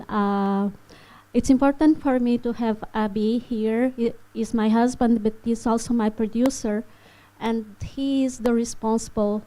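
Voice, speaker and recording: Slow, hesitant woman from Portugal. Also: fairly high pitch, dark tone, low-quality recording. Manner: hesitant, many fillers, non-native phrasing. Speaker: animation filmmaker giving a masterclass. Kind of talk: presentation to a live audience. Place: festival hall.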